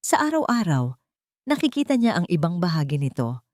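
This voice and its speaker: A woman's voice: lowish voice, very animated, brisk, darkish tone, no echo, studio quality. A woman reading a scripted love story as a dramatic narrator.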